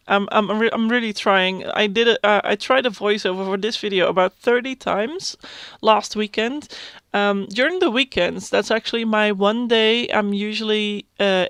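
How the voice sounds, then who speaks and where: Self-conscious Dutch woman in her thirties, halting casual vlog voiceover, clean dry read. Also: medium pitch, clear, resonant tone, no room sound. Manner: hesitant, many fillers and restarts; self-conscious, soft-spoken. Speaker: YouTube Sims builder. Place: home.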